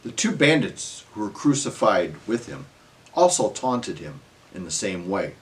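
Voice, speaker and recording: A man narrating scripture as a solemn dramatic reading, in measured phrases that trail off at the ends. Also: on the low side, big pitch swings.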